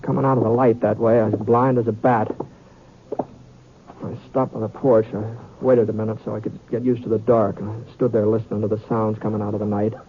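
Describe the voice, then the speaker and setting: An actor playing a seasoned, weary western gunman with a folksy, halting manner in an old-time radio drama. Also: fairly low pitch, little pitch movement, lightly acted. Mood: tense narration. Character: seasoned male western gunman, weary and guarded, recounting his tale. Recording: muffled, hissy vintage radio recording.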